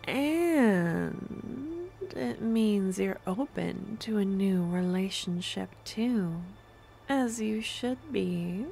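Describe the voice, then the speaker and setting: A woman acts out a possessive young female necromancer who adores her captive. Her voice is soft and often whispered, with a creaky edge, and she coos very slowly and intimately in an ASMR audio roleplay. Music plays behind her. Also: medium pitch, animated, heavily acted. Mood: tender, curious. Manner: slow, cooing, gentle coaxing. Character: devoted, possessive young woman necromancer stalker, sweetly menacing.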